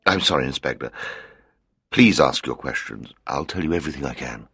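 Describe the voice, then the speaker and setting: A man's voice: a bass voice, animated, brisk, pushed and bright, breathy edge, no echo, clean studio sound, exaggerated voice. An actor playing a nervous company director in his fifties, speaking hesitantly and apologetically in an audiobook. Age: 50s. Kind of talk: audiobook character reading.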